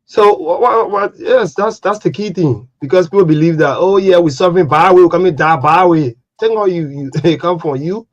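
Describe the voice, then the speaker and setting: A man's voice: high-pitched, normal speed, hardly any pauses. A man hosting a casual interview, often stammering into his questions and answering with "wow". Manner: stammering restarts, frequent "wow". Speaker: talk show host. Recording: dull lo-fi sound.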